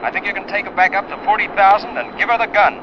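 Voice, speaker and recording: Through a thin, noisy radio link comes a high-voiced flight controller. He is businesslike and attentive, in old 1950s radio drama style. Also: fast, dull audio. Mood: attentive, concerned. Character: businesslike male flight controller talking to a pilot. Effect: crackly cockpit radio filter.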